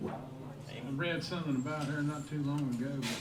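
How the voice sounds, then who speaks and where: A male songwriter bantering onstage in a quick, folksy way. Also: a little high, steady tone, very noisy. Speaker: songwriter performer. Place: live music festival stage. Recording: echoey live room, distant mic.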